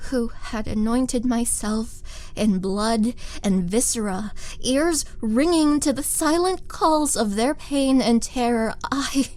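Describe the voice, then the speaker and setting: A woman playing a vengeful, seductive monster warrior in a wistful, theatrical roleplay monologue, with dramatic pauses. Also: fairly high pitch, darkish tone. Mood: dark, anguished.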